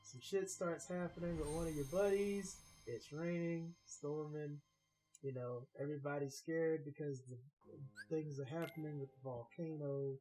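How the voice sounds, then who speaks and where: A man's voice: a little high, fairly even intonation, moderate pace, warm tone, soft background music, low-quality recording. A man giving casual gaming commentary in a rambling, argumentative way, with some swearing. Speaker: commentator on a video game let's play.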